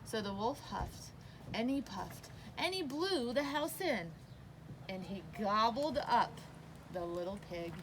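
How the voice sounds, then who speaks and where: A woman reading a children's picture book aloud as a storyteller, with expressive, emphatic narration. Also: on the high side, slow, a little hiss or hum, rough recording.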